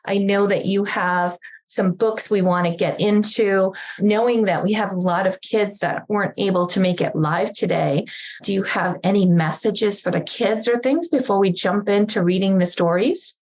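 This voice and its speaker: A woman's voice: mid-range voice, moderate pace, a little mellow, poor audio. Female host for a kids' storytime, mid-range voice, conversational introductions and questions. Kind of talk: hosting a live children's storytime.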